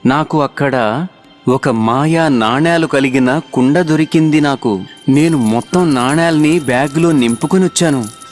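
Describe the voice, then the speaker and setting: A man playing a hardworking, earnest young village son in an animated children's moral story. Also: fairly high pitch, unhurried, soft background music, close to an everyday voice. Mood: reassuring.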